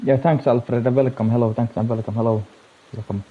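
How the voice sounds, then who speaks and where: A man's voice: medium pitch, fairly even intonation, fairly quick, phone-quality sound. A man from Finland streaming a game and chatting casually with viewers in short, laid-back remarks. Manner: laid-back, brief murmured remarks and idle vocalizing. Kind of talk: casual chat with viewers while gaming.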